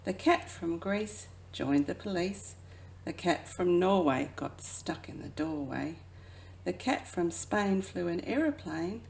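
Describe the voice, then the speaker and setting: A woman who works as a children's educator reads a picture book aloud expressively, drawing out phrases and dropping into hushed asides. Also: lowish voice, expressive, slow, vocal fry. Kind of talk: children's storybook read-aloud.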